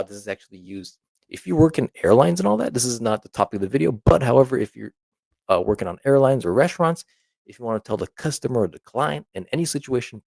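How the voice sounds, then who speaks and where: A man's voice: fairly low pitch, gravelly, a little mellow, no echo. A man teaching an informal language lesson, explaining example phrases conversationally and often checking in with the listener.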